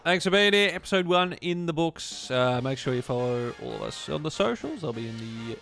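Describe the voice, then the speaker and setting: Gravelly Australian man, joking podcast host. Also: fairly high pitch, expressive, fairly quick, a bit bright, faint music behind. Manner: joking, matey banter. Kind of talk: casual chat.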